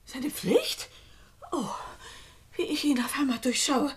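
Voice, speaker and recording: An actress playing a bitter, downtrodden middle-aged spinster in a German radio play, speaking in an agitated, stammering way. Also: female voice, high-pitched, takes it slowly. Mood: wounded, distraught. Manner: agitated, stammering, repeating words. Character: bitter, overwrought spinster, a downtrodden female companion.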